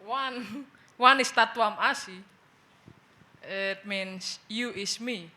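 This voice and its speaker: A woman's voice: mid-range voice, slow pace, bright, forceful tone, slight background noise. A woman from Bali with an Indonesian accent, a guest on a discussion panel, speaking hesitantly and searching for words in front of an audience. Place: event venue with an audience.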